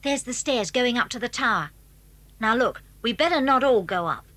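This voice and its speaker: Earnest, high-voiced British schoolboy. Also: female voice, expressive, moderate pace, a bit bright, no echo. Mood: conspiratorial, eager. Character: eager, cautious schoolboy who leads his friends. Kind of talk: 1980s British children's audio drama acting.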